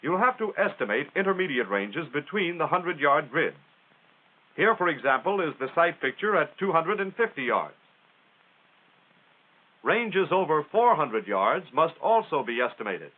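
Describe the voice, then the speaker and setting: A man's voice: on the high side, sing-song, normal speed. Tinny 1940s American male training-film narrator. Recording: tinny, band-limited 1940s film soundtrack.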